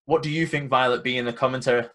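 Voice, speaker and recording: A young man hosts a casual podcast in a confident, emphatic way. When he retells conversations, he acts out each side of the exchange. His voice sits at an ordinary pitch for a man. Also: fairly even intonation, fast talker, fairly bright tone. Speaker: podcast host and event organizer. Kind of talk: casual chat.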